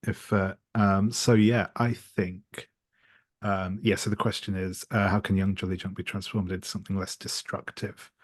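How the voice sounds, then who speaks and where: A man with a very low voice speaks in a dry, echo-free recording. He is halting and tentative, working out ideas casually as a tabletop game player. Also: flat delivery. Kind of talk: casual collaborative game brainstorming.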